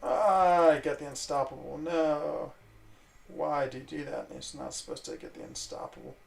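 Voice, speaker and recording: A man who grew up in Switzerland, chatting casually and rambling while he plays a video game, breaking off into quick exclamations. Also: on the high side, huge pitch swings, gravelly. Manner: rambling, self-interrupting chatter with fillers and sudden exclamations. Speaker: gaming streamer.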